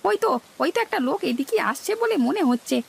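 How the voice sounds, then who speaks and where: A woman's voice: high-pitched, big pitch swings, normal speed, gravelly, full character voice. A woman voicing a naive, eager male village fish seller in a cartoon, with a questioning, simple-minded manner. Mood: agreeable, eager. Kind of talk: cartoon dialogue.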